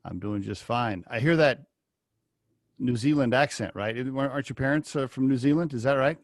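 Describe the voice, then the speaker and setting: A man's voice: mid-range voice, moderate pace, no echo. A man hosting a podcast interview over a video call, chatty and asking rambling questions. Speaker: podcast host. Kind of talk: casual interview.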